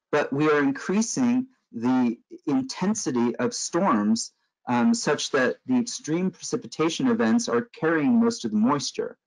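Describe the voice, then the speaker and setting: A middle-aged man from Los Angeles with an American accent, giving a conference lecture over slides in a measured, explanatory way with frequent fillers. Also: mid-range voice, rough recording. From Los Angeles, California.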